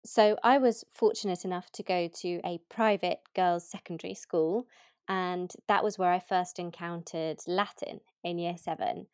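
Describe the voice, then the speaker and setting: A woman with a fairly low voice tells a personal story on a podcast, eager and full of restarts. The sound is close and dry. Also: fairly even intonation, darkish tone, clear, resonant tone, no echo. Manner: enthusiastic, halting restarts. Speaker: podcast co-host.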